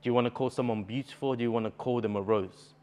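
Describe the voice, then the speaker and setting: A man's voice: mid-range voice, steady tone, racing, darkish tone. A young man from Bulgaria, a native Bulgarian speaker, talking earnestly as a poet guest in a casual interview.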